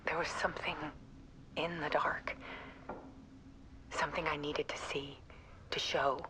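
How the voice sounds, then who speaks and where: An actress playing a haunted, obsessive woman photographer, speaking in a hushed, halting, breathy dramatic monologue. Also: sits low, little pitch movement, noisy background. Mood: obsessive, brooding.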